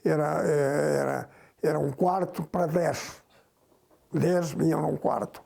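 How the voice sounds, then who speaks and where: An older man from Portugal tells his own story in a documentary interview. His voice is very gravelly and dark, a little high for a man. He speaks slowly, stopping and restarting his words. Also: steady tone. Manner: halting, repeats and restarts words. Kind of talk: recalling his past in an interview.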